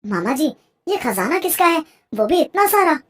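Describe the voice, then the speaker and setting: An actor plays a devout young villager in a cartoon fable. The voice is high and lively in pitch, earnest and respectful, the sound of an obedient, helpful lad. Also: moderate pace. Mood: respectful wonder. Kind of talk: animated Hindi moral story acting.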